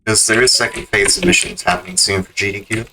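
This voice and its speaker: A man's voice: fairly low pitch, fast, vocal fry. A man giving casual gameplay commentary as a speedrun streamer. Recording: keyboard clicks and background noise.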